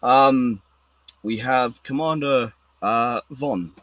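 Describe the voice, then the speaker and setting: A hoarse man hosting a casual podcast chat, talking excitedly and rambling with many restarts. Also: medium pitch, very slow, no echo. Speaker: podcast host.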